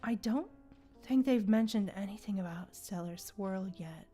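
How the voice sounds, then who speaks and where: A woman's voice: mid-range voice, a little slow, dark tone, faint music behind. A woman hosting a casual gaming livestream, chatting with viewers and thinking aloud in a playful, conversational way. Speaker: livestream host.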